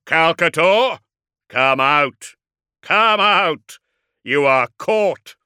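A man voicing a proud, wrathful ancient warrior entombed in a war machine, booming and roaring slowly in an audiobook. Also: expressive, pushed and bright, no room sound, studio quality, exaggerated voice. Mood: commanding fury. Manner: slow, declamatory, indignant. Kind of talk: audiobook character acting.

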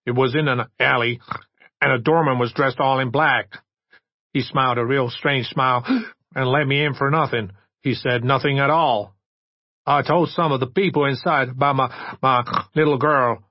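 A man's voice: mid-range voice, husky, dry recording, dull audio, voice pushed up. A man voicing a drunken, despairing middle-aged father, slurring and tearfully pleading in self-pity.

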